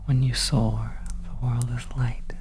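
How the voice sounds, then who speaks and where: Someone performing a somber, cryptic narrator in a horror ASMR monologue, whispering hesitantly and broodingly to a fallen dragon, with long pauses. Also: medium pitch, natural voice. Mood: somber, hushed. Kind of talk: horror ASMR, second-person scripted monologue. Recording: steady ambient noise under whispering. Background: oppressive ambience.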